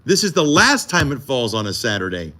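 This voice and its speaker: A man's voice: medium pitch, sing-song, brisk, pushed and bright. A man co-hosting a live morning TV show from the studio, joking and teasing with a self-mocking manner. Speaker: morning TV news co-host. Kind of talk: live behind-the-scenes morning show banter. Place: TV studio during a live broadcast.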